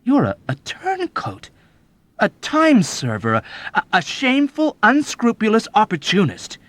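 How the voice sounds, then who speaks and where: A man voicing an earnest young soldier who protests loudly and stammers in indignation in an audiobook. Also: a little high, wildly swooping pitch, normal speed, no room sound, voice pushed up, full character voice. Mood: indignant. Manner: loud, outraged, stammering protests.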